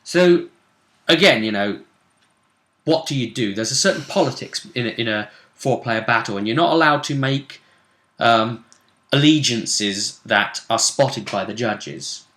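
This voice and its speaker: A man with a bright, forceful voice recaps a tabletop role-playing game as host. His pitch moves around a lot, giving an animated, conversational delivery. He talks casually and throws out questions as he explains. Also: unhurried.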